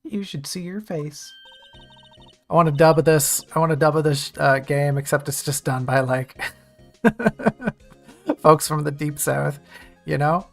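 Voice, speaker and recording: Chatty streamer goofing around with chat, kinda gravelly voice, middle pitch. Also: a little slow, long pauses, soft background music. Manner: chatty, jokey, talks to chat. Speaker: game streamer. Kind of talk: casual let's-play chat.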